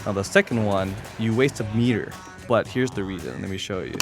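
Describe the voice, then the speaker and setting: Male gamer, lowish voice, informal and a little hesitant, walking through moves as a tutorial over clear background music. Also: fairly quick. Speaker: competitive gamer demonstrating a character. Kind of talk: unscripted fighting game tutorial commentary.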